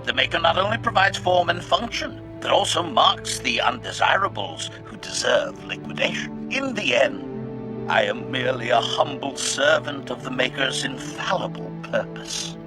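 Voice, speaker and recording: A man's voice: medium pitch, animated, moderate pace, over music, lightly acted. A man voicing a cold, calculating, loyal assassin droid in a video game, with clipped, formal delivery. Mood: devout, dutiful. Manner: clipped, formal, announces each statement with a one-word label. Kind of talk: scripted video game dialogue.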